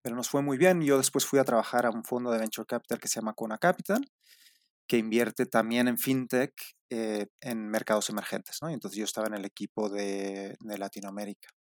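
A man in his forties from Spain whose Spanish accent has picked up some Mexican tones, answering as a founder guest in a relaxed interview with many fillers and restarts. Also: lowish voice, a little fast, dry recording. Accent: Spanish with some Mexican influence.

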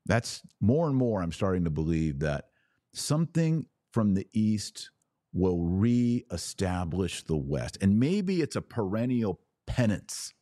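Deep-voiced man, emphatic podcast commentary. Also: big pitch swings, slow, warm tone, no echo, clean studio sound. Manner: emphatic, repeating phrases for weight. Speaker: podcast host and religious commentator. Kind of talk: unscripted monologue, religious commentary.

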